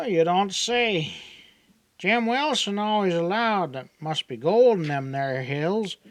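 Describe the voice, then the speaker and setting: A man's voice: fairly high pitch, fairly bright tone, no room sound, pitched above the performer's own voice, exaggerated voice. A man voicing a talkative, naive young backwoods native in an audiobook, with a rural Southern accent and a folksy, rambling drawl. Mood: eager. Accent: rural Southern hillbilly. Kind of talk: audiobook character dialogue.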